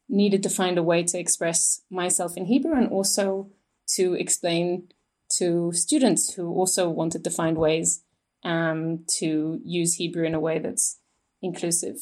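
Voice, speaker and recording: A non-binary person in their thirties, speaking as an interview guest in a hesitant way with many pauses. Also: mid-range voice, darkish tone. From Israel, raised in England. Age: 30s. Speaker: guest, Hebrew educator.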